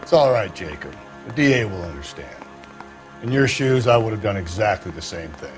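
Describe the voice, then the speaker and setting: An actor playing a cynical, hard-drinking veteran American homicide detective in a crime game, gruff and sardonic. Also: male voice, fairly low pitch, animated, fairly quick, faint music behind, close to an everyday voice. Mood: falsely sympathetic. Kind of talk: dramatic video game acting.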